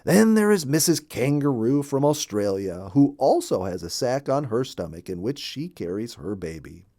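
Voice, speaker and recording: Mellow, animated male storybook narrator. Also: medium pitch, no room sound, clean studio sound. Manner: expressive, deliberate storytelling for children. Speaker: children's story reader.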